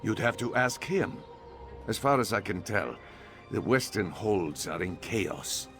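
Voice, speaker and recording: A man voicing a serious young nobleman in a video game, speaking gravely and thoughtfully over game music. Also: on the low side, expressive, unhurried, a bit bright.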